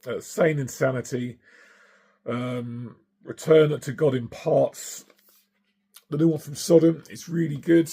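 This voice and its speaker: A middle-aged man with a hoarse, husky voice from singing, chatting casually and enthusiastically about records he has collected, with plenty of ums and uhs. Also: a little high, fairly even intonation, slow pace, dark tone. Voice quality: gravelly, husky. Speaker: vinyl collector vlogger.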